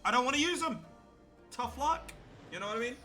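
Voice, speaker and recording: A man's voice: extremely high pitch, lively intonation, brisk, bright, forceful tone. A man streaming a game live, giving casual reaction commentary. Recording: game music behind the voice.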